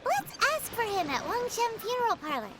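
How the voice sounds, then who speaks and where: A woman voicing a small, excitable childlike fairy companion in a scripted video game. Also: very high voice, normal speed, heavy background noise. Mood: chirpy, puzzled. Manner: speaks of self in third person. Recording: loud music behind the voice.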